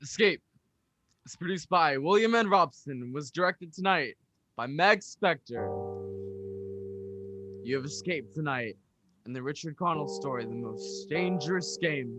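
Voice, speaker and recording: A man's voice: a little high, animated, a little slow, long pauses. A man playing an emphatic, theatrical announcer in a 1940s radio style, urgent and grand. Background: piano music.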